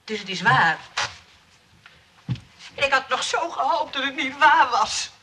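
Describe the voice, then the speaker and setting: High-voiced, resolute nurse, hissy recording. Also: female voice, animated, measured pace, distant mic. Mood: hurt. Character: bossy, hurt, resolute nurse who runs a rest home. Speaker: actress in a radio drama. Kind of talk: radio play acting.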